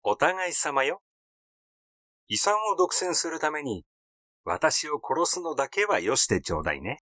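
A man's voice: on the high side, sing-song, unhurried, sharp tone, no echo, studio quality, heavily acted. A man voicing a cool, accusing young sister in a story reading, with pointed, feminine phrasing.

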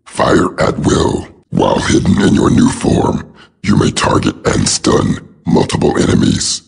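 An actor playing a cold, methodical giant robot soldier in a video game, deadpan and formal, with a raspy voice through a robotic filter. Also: male voice, rumbling low, a little slow, heavily acted. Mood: cold, deliberate. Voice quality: raspy, gravelly. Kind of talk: video game voice acting, clipped reports.

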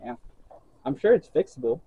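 A man's voice: medium pitch, very animated, moderate pace, dark tone, slightly rough. A man hosting a casual vlog, talking in an easygoing way outdoors. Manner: easygoing, swears when frustrated. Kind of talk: casual chat.

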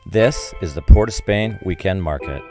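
A man's voice: sits low, normal speed. A man reading scripted narration for a travel video as a voice-over. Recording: voice-over mixed with clear music. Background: music.

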